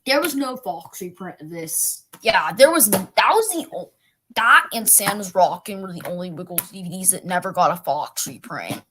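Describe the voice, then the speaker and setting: A woman's voice: lowish voice, animated, normal speed, pushed and bright, a little hiss or hum. A woman guest chatting casually on a video call, teasing and quizzing the others.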